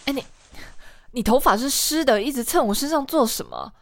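A woman's voice: sits high, expressive, fairly quick, dry recording, clean studio sound. A woman playing a caring, mildly scolding bar manager in a radio drama, with a familiar, nagging manner. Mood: fussing, puzzled. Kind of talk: Mandarin radio drama acting.

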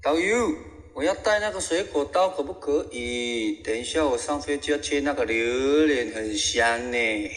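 A man's voice: medium pitch, moderate pace, fairly bright tone, long reverb tail, natural voice. A man voicing a cheeky, feisty old grandma tourist with a Taiwanese accent in an audio comedy. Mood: pleading, cheeky. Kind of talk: audio comedy radio drama acting.

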